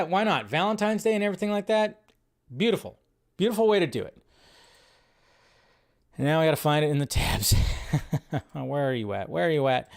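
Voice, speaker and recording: A man hosting a casual live movie news show, rambling through his commentary in an animated way with exasperated asides. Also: on the high side, gravelly.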